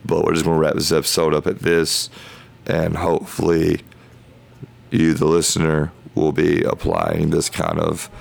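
A man hosting a casual Bible study podcast, thinking aloud in loose, halting phrases, his voice hoarse as if he were losing it. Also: a bass voice, completely flat delivery. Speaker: podcast host.

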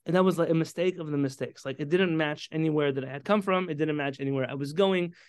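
A man in his thirties from San Diego, a rabbi, telling his story in an animated way with Hebrew terms and frequent restarts. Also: a little high, quick pace, clean tone, no echo, studio quality. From San Diego, California. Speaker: podcast guest, rabbi. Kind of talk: conversational interview storytelling.

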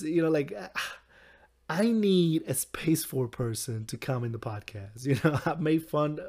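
A young man with a hoarse, recovering voice, hosting a solo podcast in a rambling, halting way with frequent chuckles. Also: a little high, darkish tone. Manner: chuckles often. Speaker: podcast host. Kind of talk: casual solo podcast talk.

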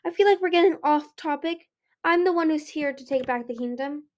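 A woman's voice: sits high, brisk, highs cut off, pitched above the performer's own voice, close to an everyday voice. A woman voicing an imperious older dragon queen, a storybook villain who speaks in an airy, haughty drawl. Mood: mocking amusement.

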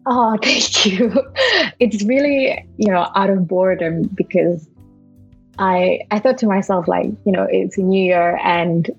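A woman's voice: mid-range voice, measured pace, gravelly, breathy edge, muffled recording, faint music behind. A young woman in her twenties from Indonesia with an Indonesian accent tinged with Malaysian, chatting casually as a podcast guest with many fillers and restarts. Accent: Indonesian with a bit of Malaysian. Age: 20s. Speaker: podcast guest, university student. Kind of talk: casual interview chat.

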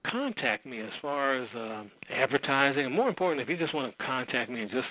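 A man's voice: medium pitch, expressive, fast, no room sound. Casual male radio host, phone-like sound. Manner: rambling, informal. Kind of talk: casual radio sign-off chat. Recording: dull, narrow-band audio.

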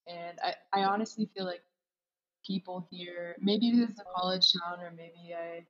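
Young woman from california, low voice, blunt and candid, dull lo-fi recording. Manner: candid, blunt, swears casually. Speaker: podcast guest. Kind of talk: casual chat. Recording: dull lo-fi audio.

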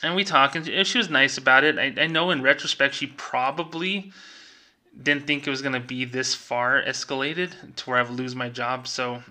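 A man's voice: medium pitch, normal speed, bright, forceful tone. A middle-aged man speaking as a vlogger, telling a personal story in a casual, conversational way and acting out remembered dialogue.

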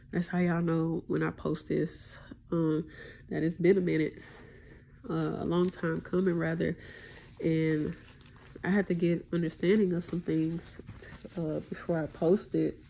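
Deep, gravelly woman, slow and hesitant. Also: dark tone, a little hiss or hum. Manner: hesitant, many ums and restarts, preachy. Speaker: podcast host. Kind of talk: casual spiritual monologue.

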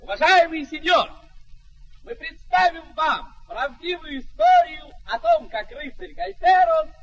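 Boastful puppet showman, really high pitched, announces everything grandly, old radio drama, bit of music. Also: a little slow, fairly bright tone, full character voice, rough recording. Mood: boastful, proclaiming. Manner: barker-like, ceremonious, proclaiming. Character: showy, boastful male travelling puppet-show master. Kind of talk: mid-century radio theatre acting.

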